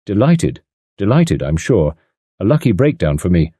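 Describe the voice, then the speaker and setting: A man voicing a fussy, excitable little elderly English gentleman with a prim, eager manner. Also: deep voice, moderate pace, breathy edge, no echo, heavily acted. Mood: excited.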